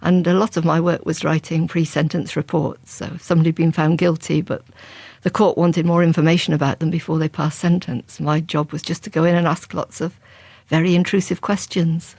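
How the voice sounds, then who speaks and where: Gravelly, low-voiced woman author. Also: level tone, a little fast, darkish tone, dry recording. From England. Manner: reflective and conversational, with frequent restarts. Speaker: crime writer. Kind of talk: interview guest.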